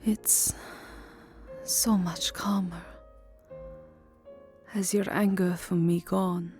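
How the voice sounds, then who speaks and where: Vampire countess, played by a woman: low, mellow, lovelorn and sorrowful, soft formal delivery for ASMR roleplay. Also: level tone, extremely slow, soft background music. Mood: melancholy, longing. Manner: soft, hesitant, formal, often dropping into whispers. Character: lovelorn, melancholy vampire countess, burned and self-loathing. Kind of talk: ASMR roleplay voice acting, second-person romance.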